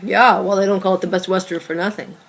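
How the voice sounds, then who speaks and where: A woman playing an evasive, defensive girlfriend in a dramatic table read, with a hesitant, halting delivery. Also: medium pitch, steady tone, close to an everyday voice, poor audio. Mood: awkward, evasive. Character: evasive, defensive girlfriend, secretive would-be writer. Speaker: actor in a script table read.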